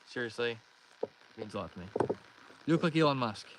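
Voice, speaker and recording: Relaxed young Canadian guy streaming outdoors. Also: mid-range voice, brisk. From Northern Ontario, Canada. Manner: relaxed, friendly, chatty. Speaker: live-streaming fishing host. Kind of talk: casual live-stream chat, reading comments aloud. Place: outdoors on a lake in a canoe. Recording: outdoor stream with clear background noise. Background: water and boat noises.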